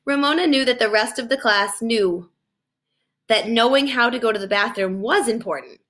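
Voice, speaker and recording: High-voiced woman reading a children's story. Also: normal speed, a bit bright. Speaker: storybook reader. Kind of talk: expressive children's read-aloud narration.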